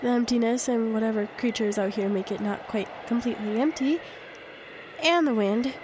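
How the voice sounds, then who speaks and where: An actress playing a frightened, doubting, defiant fiancée in an audio drama, with emphatic phrasing. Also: female voice, on the high side, moderate pace, background noise, close to an everyday voice. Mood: indignant, fearful monologue. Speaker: actor. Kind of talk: audio drama acting with inner-monologue narration.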